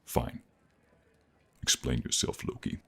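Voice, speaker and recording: A man's voice: takes it slowly, bright, forceful tone. A man acting in a fantasy audio roleplay as a proud, hot-headed warrior god, blunt and indignant. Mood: grudging.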